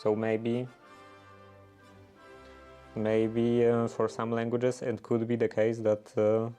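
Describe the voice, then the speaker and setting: A man's voice: medium pitch, monotone, takes it slowly, mellow, clear, resonant tone, soft background music. A native Polish-speaking man with a Polish accent, chatting casually on a livestream and thinking aloud in English with many fillers and restarts. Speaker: livestream host. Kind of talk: casual livestream chat, answering viewers.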